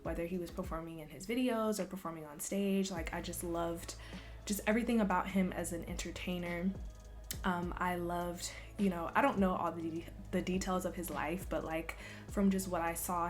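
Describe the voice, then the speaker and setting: A young woman from Louisville, Kentucky, chatting casually in a Q&A vlog, soft-spoken and relaxed with frequent ums. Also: fairly low pitch, brisk, soft background music. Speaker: vlogger. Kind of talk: casual Q&A chat.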